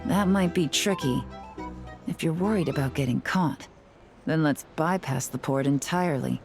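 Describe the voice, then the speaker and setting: A woman playing a composed, shrewd young captain in a video game, speaking in a cool, measured, businesslike way. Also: sits low, over music.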